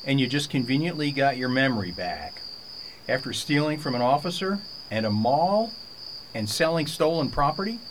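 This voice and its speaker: Radio drama interrogator, played by a man: brusque government agent, varied intonation, mid pitch, noisy recording. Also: lively intonation, normal speed, close to an everyday voice. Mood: interrogating. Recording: noisy, with cricket-like background.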